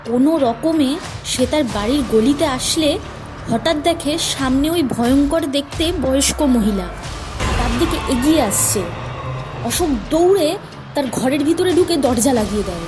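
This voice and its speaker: A woman's voice: high-pitched, animated, a little slow. A woman narrator reading a scripted horror story for a cartoon. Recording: music under the voice.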